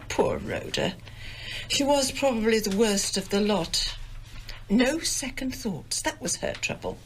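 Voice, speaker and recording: A woman's voice: a little high, a little hiss or hum, exaggerated voice, rough recording. An actress playing a domineering, self-pitying older English village mother in a radio drama, haughty and querulous. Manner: querulous, haughty, melodramatically aggrieved.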